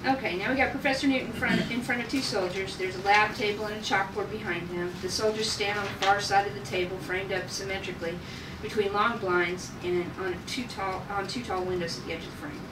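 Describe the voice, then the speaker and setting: A woman's voice: mid-range voice, fairly even intonation, fast. A woman narrating stage directions from a script at a table read. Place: room at a group table read. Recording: echoey noisy room, far from mic.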